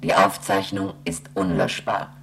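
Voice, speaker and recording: Formal space station computer for a radio play, really low and gravelly, impersonal, states facts flatly. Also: a little slow, exaggerated voice. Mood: impassive, formal. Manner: formal, impersonal, recited delivery. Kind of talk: radio drama acting. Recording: noisy track with a hum.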